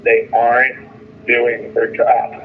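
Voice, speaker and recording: A man's voice: medium pitch, completely flat delivery, takes it slowly, a crowd in the background. A man calling in over a noisy phone line, complaining in a frustrated, emphatic way and repeating his phrases. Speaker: jailed caller. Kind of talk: phone call venting about jail and legal case. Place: phone call from jail. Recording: tinny phone line with noise.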